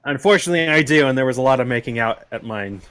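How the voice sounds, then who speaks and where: A man's voice: medium pitch, expressive, very fast, fairly bright tone. A man co-hosting a stream with casual, bantering commentary. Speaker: stream co-host.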